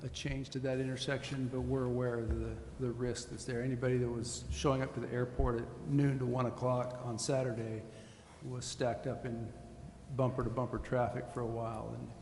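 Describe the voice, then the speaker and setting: A man speaking as a city official at a public meeting in a formal, measured and hesitant way, choosing his words carefully. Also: mid-range voice, flat delivery, a little mellow. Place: large indoor council chamber. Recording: echoey meeting chamber, faint hiss.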